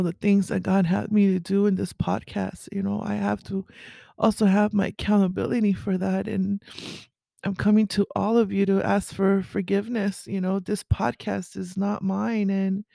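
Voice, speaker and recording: A woman with a raspy voice speaking haltingly and earnestly in a confessional, faith-based podcast monologue. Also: mid-range voice, level tone, mellow, dry recording. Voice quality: raspy early-morning voice. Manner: halting, earnest, with throat clearing. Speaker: podcast host.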